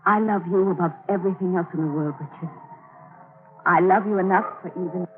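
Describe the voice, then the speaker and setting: A woman's voice: fairly low pitch, fairly quick, over music, lightly acted. An actress playing a devoted, anxious young woman in love, pleading breathlessly in 1940s radio melodrama style. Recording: narrow, noisy 1940s radio recording.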